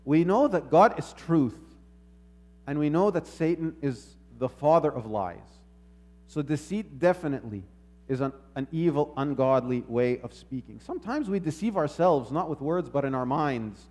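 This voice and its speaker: A man with a slightly high voice delivers a sermon. He speaks in a measured, reflective way, teaching through rhetorical questions and repeated phrases. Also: lively intonation, a little mellow. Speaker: preacher.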